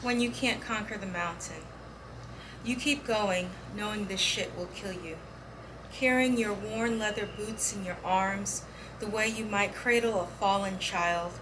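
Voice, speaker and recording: A woman reading a poem aloud as a measured recitation. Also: mid-range voice. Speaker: poetry reader. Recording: clearly audible background noise.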